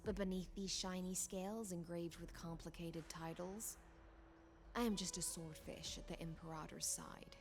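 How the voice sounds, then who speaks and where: A woman voicing a proud swordfish knight commander in a game, speaking in a formal, measured, courtly way. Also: on the low side, steady tone, music in the background, lightly acted.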